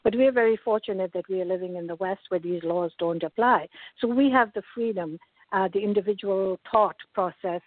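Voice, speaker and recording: A woman guest on a radio talk show, speaking in a measured, earnest way with frequent hesitations. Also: medium pitch, no room sound. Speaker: guest activist. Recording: tinny phone line.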